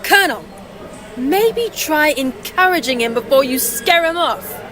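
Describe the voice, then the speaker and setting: A woman's voice: high voice, animated, a little slow, fairly bright tone, faint music behind. A woman playing a poised, supportive but firm military agent in an audio drama. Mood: reassuring, firm. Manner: encouraging, composed.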